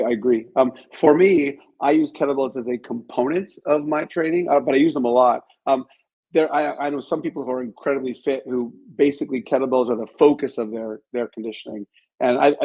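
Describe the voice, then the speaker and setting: Middle aged guy being interviewed, bit of a husky voice, explains stuff with emphasis. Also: medium pitch, fast talker, darkish tone, muffled recording. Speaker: interview guest, author and martial arts instructor. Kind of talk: conversational interview answers.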